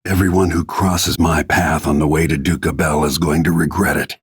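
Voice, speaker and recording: Brooding middle-aged game assassin, really raspy deep male voice, grim quiet menace, close dry sound. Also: fairly even intonation, moderate pace, dry recording, exaggerated voice. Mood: grim, determined. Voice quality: gravelly, husky. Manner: grim, low-key, menacing. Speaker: video game voice actor. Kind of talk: dramatic game voice lines, brooding inner monologue.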